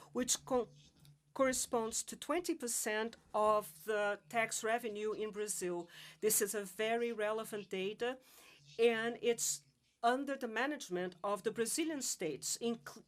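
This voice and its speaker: A woman giving a live interpretation of a conference presentation, measured and halting. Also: fairly high pitch. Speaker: simultaneous interpreter.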